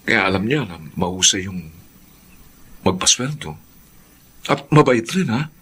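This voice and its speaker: A supportive young suitor in a radio drama. His voice sits a little low but bright, with gentle, hesitant phrasing and faint ambient noise behind it. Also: animated, a little slow. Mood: curious, concerned. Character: Marvin, supportive soft-hearted young suitor. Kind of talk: Filipino radio drama acting.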